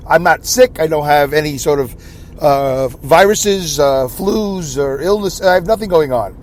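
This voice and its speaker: A man's voice: a little high, lively intonation, measured pace. Scratchy-voiced man rambling in a car. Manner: self-deprecating, rambling, frequent throat clearing. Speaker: podcast host. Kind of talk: solo rambling podcast monologue. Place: inside a car.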